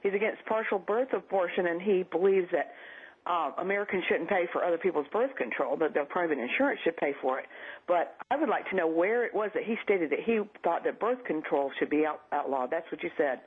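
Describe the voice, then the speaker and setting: A woman's husky voice comes through a narrow, muffled phone line. She argues politics as a radio caller, pressing her challenge insistently. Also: on the low side, animated, brisk. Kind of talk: political argument.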